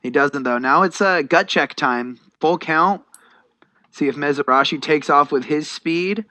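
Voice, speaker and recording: A man's voice: on the high side, unhurried, sharp tone, no echo. An American man giving conversational color commentary and analysis in a baseball broadcast.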